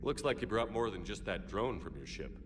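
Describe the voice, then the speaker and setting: A man's voice: lowish voice, big pitch swings, moderate pace. An actor playing a determined, dutiful male space marine commander in a video game, firm and businesslike. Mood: inquiring. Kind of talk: video game cutscene acting. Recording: noisy game mix with ambience.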